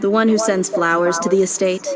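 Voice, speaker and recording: A firm, practical female guard, played by a woman, talks in a dry, matter-of-fact way. Her voice sits at a middle pitch, with game music behind her. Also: steady tone, fairly quick, close to an everyday voice. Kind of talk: video game voice acting.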